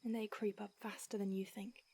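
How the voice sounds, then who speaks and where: A woman voicing an ancient female vampire who mentors her fledgling, speaking in a hushed, breathy whisper with hesitant restarts. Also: medium pitch, level tone, a little fast. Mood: remorseful, tender. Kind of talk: audio roleplay.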